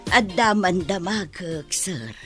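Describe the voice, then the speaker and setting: A man's voice: sits high, lively intonation, takes it slowly, over music. A man playing a deferential, cautious household employee in a radio drama. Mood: wary, respectful.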